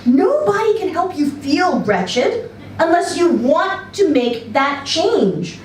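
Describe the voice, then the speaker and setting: A woman voicing a stern, disapproving female therapist in a story reading, with slow, deliberate, clipped delivery. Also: very high voice, big pitch swings, pitched above the performer's own voice, heavily acted. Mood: grave, deliberate. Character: stern, frowning woman therapist prescribing misery. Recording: roomy, distant mic, faint noise.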